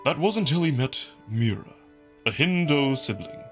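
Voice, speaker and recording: A man's voice: medium pitch, expressive, moderate pace, faint music behind, natural voice. A man voicing a large male beast noble in a visual novel, earnest and theatrical, with a grand, declaiming manner. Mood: solemn, grand.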